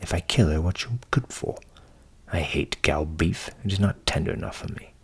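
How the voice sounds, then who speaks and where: Man doing naive, husky storybook young fellow. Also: very deep voice, fairly even intonation, moderate pace, pitched below the performer's own voice, full character voice. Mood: dismayed.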